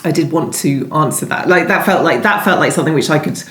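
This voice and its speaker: A woman's voice: deep voice, lively intonation, fast, fairly bright tone. A woman novelist being interviewed, talking conversationally and thinking aloud, often hesitating and rephrasing. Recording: roomy, echoey sound.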